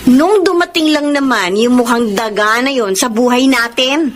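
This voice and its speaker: A woman's voice: fairly high pitch, normal speed, slight background noise, close to an everyday voice. An actress playing a vain, jealous young girlfriend with a suspicious, mocking manner in a Tagalog radio drama. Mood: catty, jealous.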